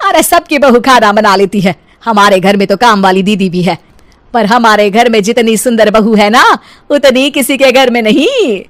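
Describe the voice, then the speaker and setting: A woman's voice: a little high, lively intonation, moderate pace, lightly acted. A woman voicing a proud middle-aged mother-in-law in a cartoon story, motherly but chiding and emphatic. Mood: boastful. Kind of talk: cartoon story character acting.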